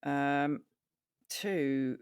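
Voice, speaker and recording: A woman co-hosting a podcast in casual chat, talking into a microphone, animated and self-mocking, swearing casually. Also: very deep voice, extremely slow, clear, resonant tone, no room sound, studio quality. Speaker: podcast co-host.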